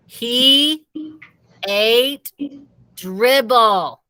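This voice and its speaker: A woman's voice: on the high side, extremely slow, pushed and bright, clear, resonant tone, no room sound, heavily acted, poor audio. A woman voicing a frantic, accusing fourth-grade boy in a children's storybook, insistent and exclaiming. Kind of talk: children's storybook read-aloud.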